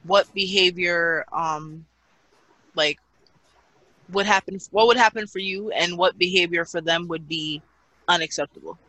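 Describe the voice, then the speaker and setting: A man's voice: sits high, unhurried, pauses often, bright, forceful tone, rough recording. A man hosting a casual group chat who laughs often and asks probing follow-up questions. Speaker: host asking the questions.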